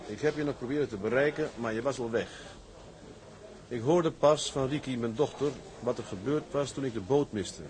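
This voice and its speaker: A man's voice: mid-range voice, moderate pace, a little mellow, natural voice, rough recording. A man playing a firm, determined seafaring captain. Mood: reading aloud. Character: determined, ambitious seafaring tugboat captain, shrewd and proud. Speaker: actor playing a sea captain. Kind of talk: acted period drama dialogue.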